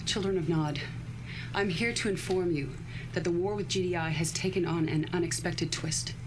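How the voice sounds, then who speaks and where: A woman voicing a controlled, ambitious military leader from a war game, lowish voice, formal and authoritative. Clear noise runs under the audio. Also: moderate pace, natural voice. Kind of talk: game cutscene acting.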